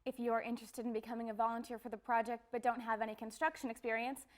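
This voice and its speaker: A woman's voice: fairly high pitch, little pitch movement, quick pace. A woman presenting scripted news as an anchor.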